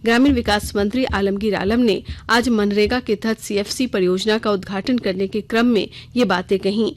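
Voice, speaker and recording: A woman's voice: mid-range voice, fairly even intonation, moderate pace. A woman news reader delivering a scripted radio bulletin in a formal news-reading style.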